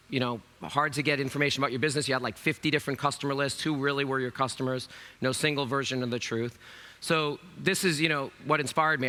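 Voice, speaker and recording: A man in his fifties with a raspy voice, hosting a conference keynote in a loose, chatty way with many fillers. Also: mid-range voice, pushed and bright. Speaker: keynote host. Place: large conference stage.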